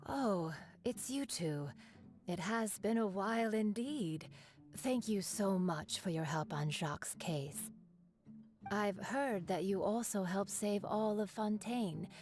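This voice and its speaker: A woman voicing a grateful widowed mother who is soft-spoken and courteous. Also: medium pitch, slow, natural voice. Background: game music.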